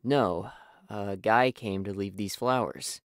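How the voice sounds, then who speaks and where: A man's voice: on the low side, slow, smooth, no echo, clean studio sound. A man voicing a cool, laid-back young male hedgehog hero in a cartoon comic dub. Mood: puzzled.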